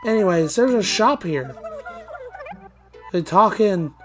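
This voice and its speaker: A man with a slightly hoarse, groggy voice giving loose, rambling let's play commentary. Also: high-pitched, lively intonation, slow, a bit bright, faint music behind. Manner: rambling, loose, with many fillers and restarts. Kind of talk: casual gameplay commentary.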